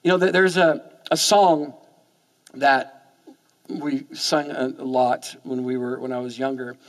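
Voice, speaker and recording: A man in his forties preaching a sermon on a church stage, in an animated, conversational way that often repeats words as he starts a sentence. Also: medium pitch, measured pace. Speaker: pastor. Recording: long hall reverb.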